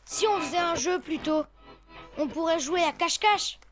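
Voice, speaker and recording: A woman's voice: very high voice, moderate pace, soft background music. A woman voicing a polite, well-meaning young child in a cartoon, in an earnest, childlike manner. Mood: friendly, eager. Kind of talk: animated cartoon voice acting.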